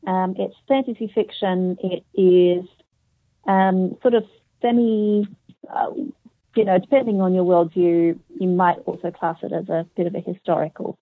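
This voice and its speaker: An Australian woman speaking in a hesitant, thoughtful way, stopping often to find her words. Her voice sits a little low and comes through a thin, tinny phone-like line. The sound is dry and close, with no room around it. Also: steady tone, no echo. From Hong Kong. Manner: hesitant, thoughtful, many pauses and fillers. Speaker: author guest. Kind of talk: conversational interview answers.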